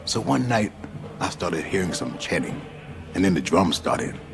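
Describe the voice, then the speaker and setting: A man's voice: low voice, sing-song, creaky, over music, exaggerated voice. A man acting a laid-back, mischievous, worldly friend in a radio drama, telling things in a wry, colloquial way. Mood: wry, amused. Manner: unhurried, wry, chuckling, colloquial.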